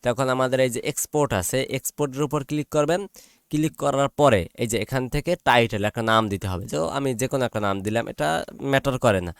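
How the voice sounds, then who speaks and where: A man with a Bangladeshi accent walks through software step by step in an informal way. His voice sits at a middling pitch in a dry, close recording. He repeats each step and often checks that listeners are following. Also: brisk, clean tone, no echo. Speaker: tutorial presenter.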